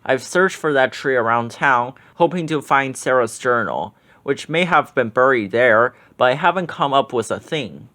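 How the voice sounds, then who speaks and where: A man voicing a somber horror writer, calm and earnest. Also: mid-range voice, normal speed, few pauses.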